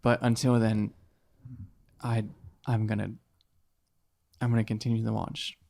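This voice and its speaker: A man's voice: lowish voice, level tone, measured pace, creaky, dark tone. A man chatting casually as a player in a tabletop game, with a wry, joking manner.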